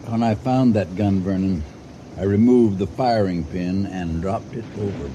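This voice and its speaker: An actor playing a calm, shrewd elderly farmer in an old-time radio drama, speaking in a measured, courteous but firm way. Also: male voice, sits low, background noise, close to an everyday voice. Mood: calm, dry. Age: older. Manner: measured, courteous, dryly admonishing.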